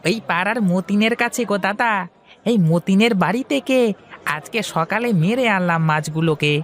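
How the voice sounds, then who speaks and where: A man's voice: high voice, moderate pace, fairly bright tone, voice pushed up. A man voicing a friendly, persuasive village fish seller in a children's cartoon, with sing-song, coaxing patter. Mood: eager, persuasive. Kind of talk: Bengali children's cartoon dubbing.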